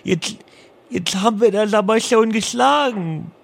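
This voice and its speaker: A man playing a dim, stubbornly confused prank caller who talks in muddled, halting, garbled sentences on comic phone calls. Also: high voice, vocal fry, heavily acted. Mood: helplessly puzzled.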